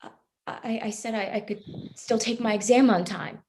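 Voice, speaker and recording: A woman's voice: a little high, moderate pace, darkish tone, slight background noise, lightly acted. A young woman acting an anxious, defensive student who rambles and apologises in a naturalistic stage play reading. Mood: pleading. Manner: rambling, apologetic, stammering under pressure.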